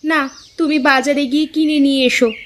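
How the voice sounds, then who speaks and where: A woman's voice: high-pitched, steady tone, fairly quick, lightly acted. A woman voicing a caring, practical village housewife and mother in a cartoon, with a homely, matter-of-fact manner. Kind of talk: Bengali cartoon dialogue. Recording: clearly noisy, birds and outdoor ambience.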